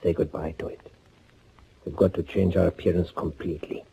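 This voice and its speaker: An actor playing a grim, commanding man on an undercover mission, terse and deliberate, in 1940s radio thriller style. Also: flat delivery, exaggerated voice, poor audio. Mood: grim, controlled. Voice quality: low, dark, husky. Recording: old 1940s radio recording.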